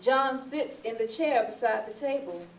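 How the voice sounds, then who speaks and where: A woman's voice: fairly high pitch, animated, moderate pace. Narrator: woman reading scripted stage directions, heard from far off with some background noise. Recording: echoey, distant, noisy stage recording.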